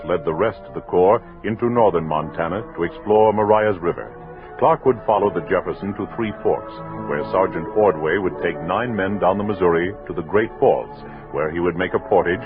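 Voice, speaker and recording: An American man narrating in a formal, measured mid-century radio style, as the programme's announcer. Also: low-pitched. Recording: muffled old radio recording. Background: music.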